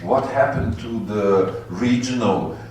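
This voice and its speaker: A man speaking as a panelist at a roundtable discussion, halting and earnest as he searches for words. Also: on the low side, darkish tone. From Bosnia. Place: university auditorium. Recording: echoey hall, distant mic, faint hum.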